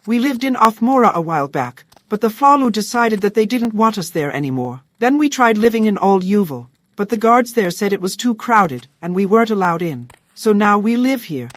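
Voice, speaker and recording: A woman plays the earnest leader of a band of vagrants in a fantasy game. She is weary and plain-spoken, with a measured delivery at a middling pitch. The sound is close and dry. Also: lively intonation, no echo, lightly acted. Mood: matter-of-fact. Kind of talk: scripted video game character dialogue.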